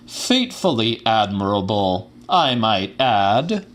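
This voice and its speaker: A man playing a pompous, grandiose scientist-showman lecturing a theater audience in a formal, theatrical, deliberate way, as in a dramatic audiobook. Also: lowish voice, big pitch swings, fairly bright tone, heavily acted. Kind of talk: dramatic audiobook reading of a monologue.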